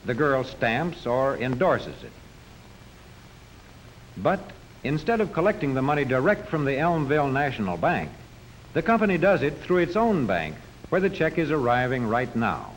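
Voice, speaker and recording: A male narrator delivers scripted 1940s educational film commentary. His medium-pitched voice rises and falls in an animated way as he explains things didactically. Light noise from the old soundtrack runs beneath. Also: normal speed, rough recording.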